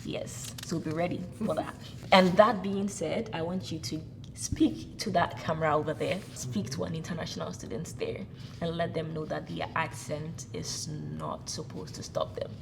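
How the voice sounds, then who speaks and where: A woman's voice: sits low, steady tone, normal speed, gravelly, noisy background. A woman hosting a casual interview, speaking in an earnest, encouraging way. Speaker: host.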